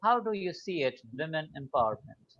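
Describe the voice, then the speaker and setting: A man hosting a live online interview, welcoming his guest politely and filling pauses with "uh". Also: on the high side, lively intonation, a bit bright, highs cut off. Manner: courteous, many fillers. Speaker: host.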